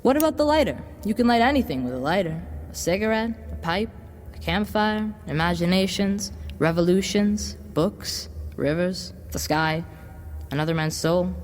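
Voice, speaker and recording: A woman playing a smooth-tongued devil boy in an audio drama, coaxing like a salesman and offering tempting gifts. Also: fairly low pitch, lively intonation, moderate pace, close to an everyday voice. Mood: grandiose. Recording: long reverb, background noise.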